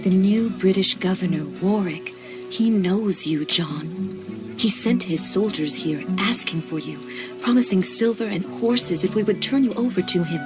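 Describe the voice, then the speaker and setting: A woman's voice: mid-range voice, moderate pace, natural voice. Grave, proud Mohawk woman, acted, over music. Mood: reproachful. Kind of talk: dramatic game cutscene acting.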